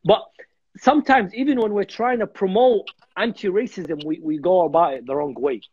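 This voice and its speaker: Earnest husky man on a video call. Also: high voice, moderate pace, a little mellow, muffled recording. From Iraq. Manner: earnest, repeats words while thinking. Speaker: guest speaker. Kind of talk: religious discussion. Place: home room on a video call.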